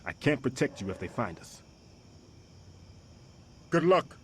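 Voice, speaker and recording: A man voicing a hunted informant who speaks in hushed, hurried tones. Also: mid-range voice, very animated, slight background noise. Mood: urgent. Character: hunted man handing over a secret, urgent.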